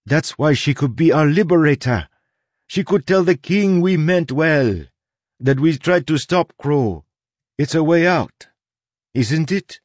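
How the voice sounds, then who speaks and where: A man's voice: medium pitch, expressive, moderate pace, a little mellow, no echo, voice pushed up, lightly acted. A man voicing a stern, straightforward sailor woman in an audiobook, with urgent, persuasive delivery. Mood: pleading.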